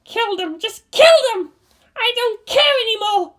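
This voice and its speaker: A woman voicing an anguished, defiant teenage girl pilot in an audio story, bitter and forceful, her voice breaking with emotion. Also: very high voice, animated, a little slow, voice pushed up, exaggerated voice. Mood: anguished fury. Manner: forceful, emotional, pleading outbursts. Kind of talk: dramatic fan fiction reading.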